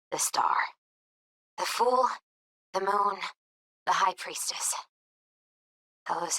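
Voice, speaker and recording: A woman playing a gentle, earnest young tarot reader in a video game, with thoughtful, hesitant phrasing. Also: medium pitch, dry recording, low-quality recording. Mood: concerned, encouraging. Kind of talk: naturalistic video game dialogue acting.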